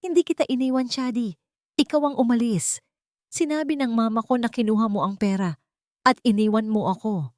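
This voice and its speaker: A woman's voice: on the high side, fairly quick, a little mellow, no room sound, studio quality, voice pushed up, close to an everyday voice. A woman voicing a demanding, bewildered rich young man in an audio drama, insistent and intense. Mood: indignant. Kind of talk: dramatic audio story acting.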